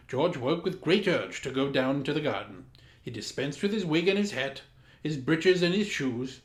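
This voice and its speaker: A man reading a children's story in a pompous, grandly formal and theatrical way, with dramatic emphasis. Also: a little high. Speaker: storyteller, host of a story time. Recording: roomy, echoing room sound.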